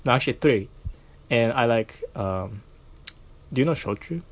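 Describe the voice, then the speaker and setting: Hesitant young Japanese man, gravelly voice. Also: mid-range voice, expressive, moderate pace, highs cut off. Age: young adult. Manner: hesitant, many fillers and restarts, laughs easily. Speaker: guest. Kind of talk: casual interview chat.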